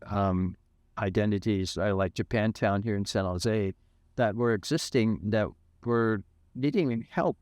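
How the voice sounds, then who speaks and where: A man in his seventies from California, thoughtfully recalling his past in an interview with many pauses and fillers. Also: on the low side, a little mellow, no echo, studio quality. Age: 70s. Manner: thoughtful, hesitant with frequent fillers. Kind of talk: reflective interview.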